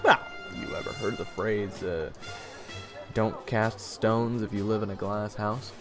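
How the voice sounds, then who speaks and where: A man playing a sarcastic young traveler who narrates his own adventure in a wry, expressive, snarky way. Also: on the low side, unhurried, close to an everyday voice. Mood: mocking. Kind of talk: first-person dramatic narration and dialogue. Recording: roomy echo with long reverb, music behind.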